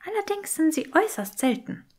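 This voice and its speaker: A woman's voice: extremely high pitch, very animated, brisk, a little mellow, voice pushed up. A woman voicing a curious, bookish young witch who explains things precisely and is easily exasperated, in an audiobook story. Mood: excited.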